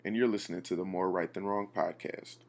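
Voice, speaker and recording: A podcast host, slightly hoarse, talking in an animated, joking way. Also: male voice, low voice, brisk, background noise. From Houston, Texas. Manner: animated, laughing storyteller. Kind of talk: casual chat.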